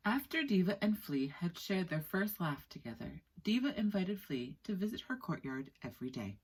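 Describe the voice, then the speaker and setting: A woman in her thirties reading a children's book aloud expressively, with deliberate storytelling pauses. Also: on the low side, sing-song, a little mellow, breathy edge, poor audio. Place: small indoor room with skylight.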